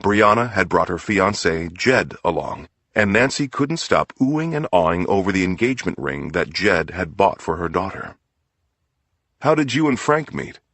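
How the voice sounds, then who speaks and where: Male audiobook narrator for crime fiction: very low register, husky, dry studio sound. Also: sing-song, normal speed, hardly any pauses, fairly bright tone, dry recording. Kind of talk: scripted crime story narration.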